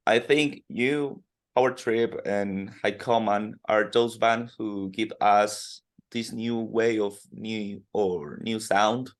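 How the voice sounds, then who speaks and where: Colombian guy interviewing, super slow and halting, lots of ums and little laughs. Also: lowish voice. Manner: slow, hesitant, halting questions with fillers and soft laughs. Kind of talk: podcast interview in second language.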